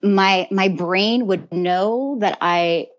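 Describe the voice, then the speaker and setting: Woman mentor chatting on a podcast, earnest and animated, repeats herself, kinda dull audio. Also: mid-range voice, very slow. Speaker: guest, mentor to creative women. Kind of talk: casual podcast conversation.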